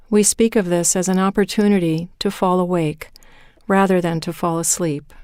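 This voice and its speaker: A woman leading a guided meditation in a calm, measured way, with deliberate pauses between phrases. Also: on the low side, smooth, no echo, studio quality. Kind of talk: guided meditation, scripted narration.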